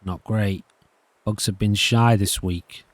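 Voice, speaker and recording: A man voicing a gentle, earnest bug-loving kid in a story game. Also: on the low side, steady tone, slow pace, dry recording. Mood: earnest, mild.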